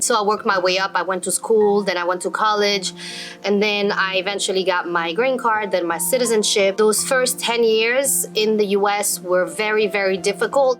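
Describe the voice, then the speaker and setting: A woman's voice: mid-range voice, fairly bright tone. A woman in her thirties who grew up in Belgium, telling her own story unscripted and speaking directly to viewers with advice. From Belgium (born in Morocco). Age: 30s. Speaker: travel vlogger and business coach. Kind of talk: unscripted personal story to camera.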